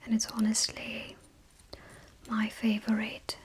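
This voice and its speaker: A woman speaking in a breathy whisper, gently explaining each step of a styling session to a client as unscripted ASMR. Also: a little high, completely flat delivery, slow pace, slight background noise. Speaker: makeup and colour analysis stylist.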